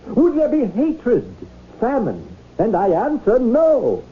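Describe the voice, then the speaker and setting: A very high-pitched, swooping male voice of a pompous orator. He declaims sentimentally, in a tinny 1970s radio drama recording. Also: wildly swooping pitch, takes it slowly, heavily acted. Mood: grandly reverent.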